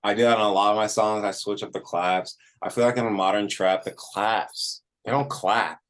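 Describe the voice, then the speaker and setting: A man's voice: on the low side, fairly even intonation, moderate pace, a bit bright. A man, a musician being interviewed, talking casually and informally with "like" dropped in often. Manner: informal, frequent "like" as filler.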